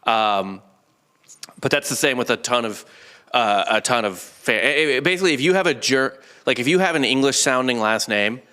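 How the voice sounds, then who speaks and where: A man with a bright, cutting voice at an average pitch hosts a history podcast. He explains things casually and conversationally, with a joking, informal delivery. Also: expressive, normal speed, clean tone. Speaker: podcast host. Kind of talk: casual comedic history chat.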